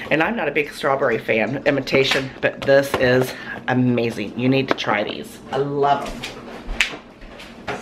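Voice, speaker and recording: A hoarse woman with a cold, chatting in a rambling, folksy way with lots of ums in her home kitchen. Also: a bass voice. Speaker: cooking vlogger. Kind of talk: casual chat to camera. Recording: noisy home recording.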